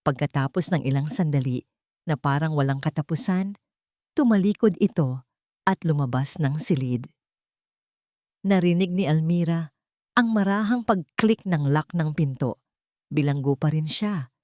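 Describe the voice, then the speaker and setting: Woman reading a dramatic love story, emotive, lowish voice, really dry close sound. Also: measured pace, long pauses, dark tone, no echo. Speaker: narrator. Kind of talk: scripted narration of a romantic drama story.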